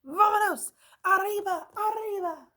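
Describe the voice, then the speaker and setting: A woman voicing an excitable thumbtack, shouting. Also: extremely high pitch, unhurried, pitched above the performer's own voice, full character voice. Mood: excited. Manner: shouting, exuberant.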